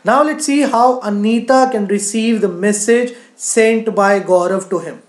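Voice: medium pitch, unhurried, roomy sound. A teacher narrating a screen-share tutorial in an explanatory way, often saying "you know". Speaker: coding teacher.

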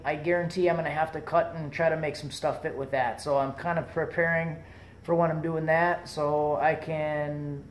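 A young man talking through a welding project in his home garage, relaxed and thinking aloud as he works, recorded on a camera mic that drifts near and far. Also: on the high side, slight background noise. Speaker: DIY welding vlogger. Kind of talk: casual how-to narration. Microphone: camera mic, moving between close and far.

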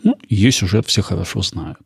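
A man hosting a podcast with measured, explanatory scripted documentary narration. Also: sits low, lively intonation, vocal fry, breathy edge, no room sound, clean studio sound. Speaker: podcast host.

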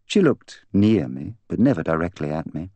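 Man voicing a bitter, intense young woman, low-pitched. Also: very animated, moderate pace, mellow, slightly rough, no room sound, muffled recording, heavily acted. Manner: candid, articulate, intense. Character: proud, bitter young woman confessing to killing her fiancé. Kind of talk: audiobook character dialogue.

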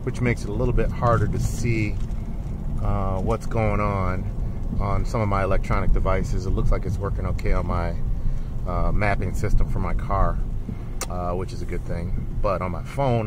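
A man's voice: low voice, steady tone, moderate pace. A man giving a casual, rambling spoken review from inside his car while he drives, with many ums and uhs. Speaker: vlogger reviewing camera glasses. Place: inside a car, driving in rain. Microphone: built into camera glasses he wears. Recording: loud car noise from glasses-mounted camera. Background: car and road noise.

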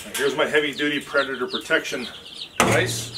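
Man, farmer, folksy plainspoken how-to talk, medium pitch, outdoors, very noisy with chirping and clucking birds. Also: fairly even intonation, brisk. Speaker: farmer presenting how-to video. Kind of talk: casual unscripted demonstration. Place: outdoors on a farm, concrete patio and pens. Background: loud bird chirps, clucking, clicks.

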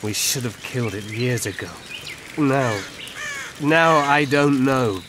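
Male actor as aged elf woodsman, solemn, measured, world-weary, middle-pitched voice, video game scene, noisy surroundings. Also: close to an everyday voice. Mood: grave, measured. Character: old male elf forest scout, world-weary and grave. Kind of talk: video game dialogue acting. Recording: clear ambient noise behind.

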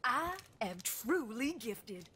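An actress playing a boastful, feisty young gunsmith in a video game, brash, sassy and teasing. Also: female voice, fairly high pitch, very animated, slow pace, sharp tone, a little hiss or hum, exaggerated voice. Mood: smug, teasing. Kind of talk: animated video game voice acting.

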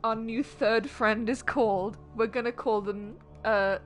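A young woman with a Yorkshire accent chatting excitably and swearing while playing a game with a friend. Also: on the high side, measured pace. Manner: excitable, swears, laughs often. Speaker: streamer. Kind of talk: casual gaming chat. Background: game music.

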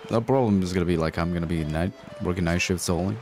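Deep-voiced relaxed man from Bosnia. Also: quick pace. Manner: relaxed, rambling, swears casually. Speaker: game streamer. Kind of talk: casual gameplay commentary with chat. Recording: voice over loud game music.

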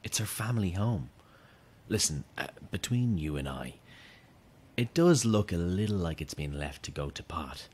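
Deep, lively young man. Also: moderate pace, breathy edge. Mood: confiding, upbeat. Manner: upbeat, coaxing, familiar. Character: eager, chummy, subtly creepy young male fan. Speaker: actor in an audio drama. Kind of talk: naturalistic radio drama acting.

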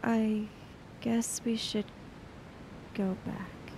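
A woman's voice: fairly high pitch, little pitch movement, drawn-out words, a little mellow, slight background noise. A woman playing a dazed, terse female samurai in a tabletop game, subdued and hesitant.

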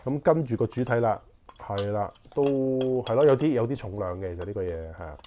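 A man's voice: on the low side, a little fast, mellow, a little hiss or hum. A man in his forties with a Hong Kong Cantonese accent, unboxing camping gear in casual chat and thinking aloud with many fillers, recorded on a lapel mic in a small living room. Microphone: clip-on lapel mic.

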